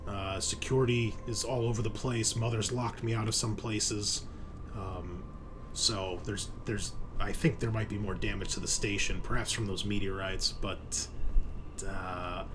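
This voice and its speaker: Man acting apologetic sci-fi crewman, lower-pitched, halting delivery, practical, helpful, noticeable background noise. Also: close to an everyday voice. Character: helpful ship crewman named Gray reporting over comms.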